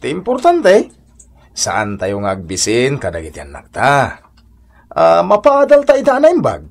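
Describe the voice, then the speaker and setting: A man playing a poor, resigned husband and father in a radio comedy drama, sighing and philosophising, with an Ilocano accent and a husky voice. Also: mid-range voice, huge pitch swings, a little slow, heavily acted. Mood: earnest.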